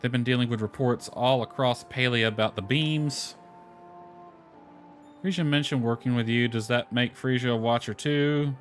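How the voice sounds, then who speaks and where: Male gamer, mid-pitched, offhand and conversational, narrating a playthrough over background music. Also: moderate pace. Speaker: gamer commenting on own playthrough. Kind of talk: casual game commentary, reading dialogue aloud. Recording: lo-fi music playing behind.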